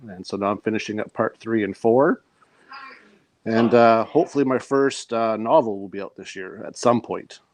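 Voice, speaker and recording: A man's voice: lowish voice, normal speed, slight background noise. A man who is an author and podcast guest, chatting casually about his own work.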